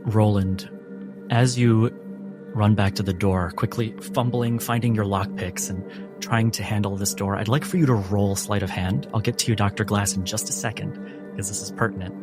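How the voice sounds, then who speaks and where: A man running a tabletop game as game master, narrating in measured, dramatic phrases. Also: lowish voice, fairly even intonation. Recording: music bed under the voice.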